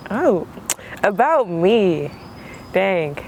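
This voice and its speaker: A young man with a high, lively voice that swoops around freely as he talks. He was born in Hawaii and grew up in Georgia, and he sits as the guest in a laid-back interview. He keeps it easygoing and slangy, and he laughs often. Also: very slow, a little hiss or hum. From born in Hawaii, raised in Georgia, US. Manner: relaxed and slangy, laughs easily. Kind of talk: casual interview chat.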